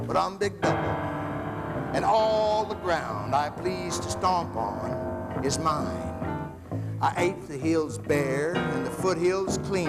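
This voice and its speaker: A man voicing a huge, greedy, boastful male bear in a slow, menacing drawl, over guitar music. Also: fairly high pitch, voice pushed down.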